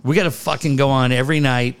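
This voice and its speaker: A middle-aged man co-hosting a casual podcast, telling profane, animated stories. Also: medium pitch, fast, clean tone. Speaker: podcast co-host.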